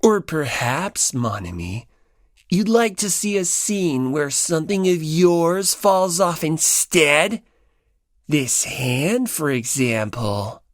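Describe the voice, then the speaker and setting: A teenage high school boy, played by a woman, low in pitch and slow-paced, with lively swings in intonation. He sounds casual, a little teasing or awkward, as an audiobook character. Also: no room sound, clean studio sound, full character voice.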